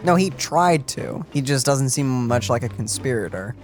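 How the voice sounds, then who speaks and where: A man's voice: mid-range voice, animated, normal speed, faint music behind. A man giving casual co-host commentary in a let's play.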